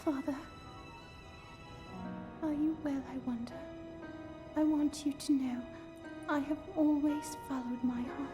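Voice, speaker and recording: High-voiced, earnest young princess. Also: female voice, steady tone, music in the background. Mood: wistful, sorrowful. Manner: solemn, tender, measured. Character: earnest young princess, gentle, dutiful and wistful. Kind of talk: video game voice acting.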